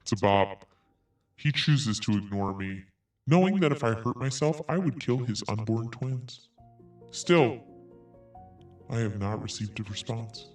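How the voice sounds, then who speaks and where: Guy reading some email out loud on his podcast, sarcastic little asides, kinda far from the mic, faint music under it. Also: medium pitch, animated, a little slow, pauses often. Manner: sarcastic, cutting in with snarky asides. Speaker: podcast host. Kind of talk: commentary, reading an email aloud.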